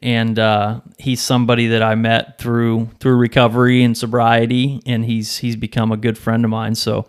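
A man's voice: medium pitch, monotone, moderate pace, hardly any pauses, clean tone. Casual male podcast host with an everyday voice. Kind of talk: casual chat.

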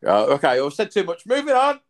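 A man's voice: high voice, wildly swooping pitch, quick pace. A man, hosting a podcast in casual chat, rambling with lots of fillers and casual swearing. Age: 30s. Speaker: podcast host.

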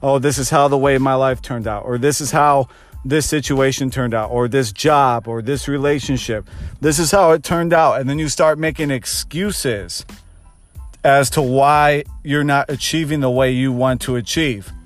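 A man's voice: medium pitch, steady tone, normal speed, dry recording, faint music behind. A man of thirty, a fitness coach, hosting his own podcast and giving an earnest motivational pep talk that repeats phrases for emphasis. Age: 30s.

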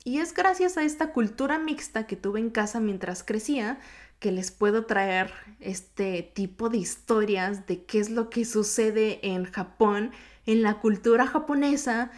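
A woman's voice: on the high side, measured pace. A woman with a Mexico City accent, a native Japanese speaker, hosting a solo podcast in a chatty, informal, slightly sing-song way. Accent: Mexico City Spanish. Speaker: podcast host.

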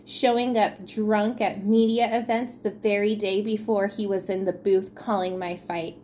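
A woman's voice: on the high side, darkish tone. A woman co-hosting a podcast, chatting casually about sports news in an informal, slangy way. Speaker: podcast co-host.

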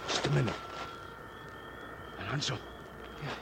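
An actor playing a watchful sheriff's deputy on night guard in a 1950s radio drama. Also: male voice, mid-range voice, slow, creaky, a bit bright, exaggerated voice. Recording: very noisy old radio recording.

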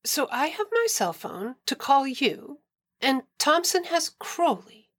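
A woman's voice: sits high, very animated, takes it slowly, heavily acted. A woman playing a young female college student, hesitant but determined, in an audio drama. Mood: planning. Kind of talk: audio fiction acting.

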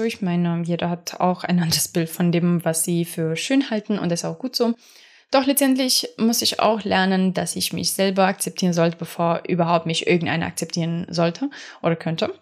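A young adult woman, not a native German speaker, talking in a casual, rambling podcast monologue full of fillers and restarts. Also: on the low side.

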